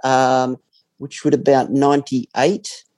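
Brief: man, 40s, mellow low voice, dry close sound, unhurried guest. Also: dry recording. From Australia. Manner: relaxed, reflective, frequent pauses. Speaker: podcast guest. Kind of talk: casual interview chat.

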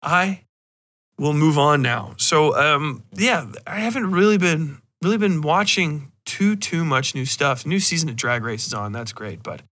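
A man with a bright, forceful voice talks as a podcast host. His delivery is loose and conversational, rambling from thought to thought. He is emphatic and freely profane. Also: a little high, a little slow. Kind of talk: casual rambling chat.

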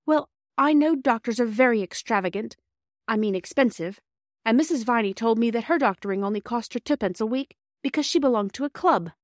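A woman's voice: on the high side, brisk, no room sound, studio quality, pitched above the performer's own voice, lightly acted. A woman voicing an earnest, polite young girl in a storybook, hesitant and eager. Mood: anxious, earnest. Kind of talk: storybook character dialogue.

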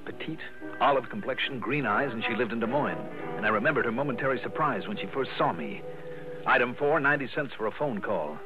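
An actor playing a tough, wry insurance investigator in a 1960s radio detective drama, speaking in a clipped, sardonic way. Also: male voice, mid-range voice, animated, a little fast, close to an everyday voice. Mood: wry narration. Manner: clipped, hard-boiled, sardonic. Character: tough, wry male insurance investigator narrating his case. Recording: tinny vintage radio broadcast, music.

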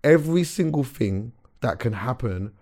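Young man, hoarse voice, animated, slangy, podcast host. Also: medium pitch, moderate pace. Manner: animated storyteller, slangy, calls everyone bro. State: hoarse, recovering from illness. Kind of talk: casual chat.

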